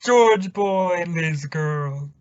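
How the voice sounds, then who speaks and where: A man's voice: high voice, extremely slow, darkish tone, clean tone, no room sound. Jovial neighbour pal played by a man, conspiratorial, wry, vintage radio comedy, dry and muffled sound. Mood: hearty. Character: jovial, gossipy, conspiratorial male friend and neighbour. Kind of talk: 1940s radio sitcom acting. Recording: dry, muffled old-time radio style recording.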